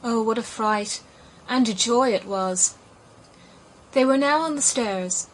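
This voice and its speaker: A woman reading a fairy tale as an audiobook narrator, in an expressive storytelling manner. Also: a little high, slow pace, rough recording. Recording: clear background noise.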